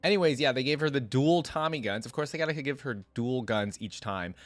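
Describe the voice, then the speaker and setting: A man hosting a reaction video, chatting informally and slangily as he thinks out loud. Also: mid-range voice, expressive, a little fast, dry recording, soft background music. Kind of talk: casual reaction commentary.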